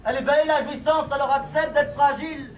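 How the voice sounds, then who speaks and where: A man's voice: extremely high pitch, steady tone, fairly quick. A man reciting slam poetry at a live session. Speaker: slam poet. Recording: echoey room, distant mic, slight noise.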